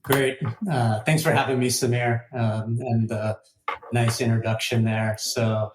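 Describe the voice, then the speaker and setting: American male founder, podcast guest. Conversational delivery, average pitch, roomy sound. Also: little pitch movement, a little slow, noisy background. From United States. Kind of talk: conversational interview answers.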